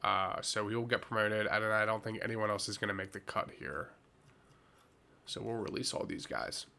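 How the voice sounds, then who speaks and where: A man's voice: lowish voice, moderate pace, vocal fry, bright, forceful tone. A man giving casual, laid-back gaming commentary as host, sounding a little stuffy with a head cold.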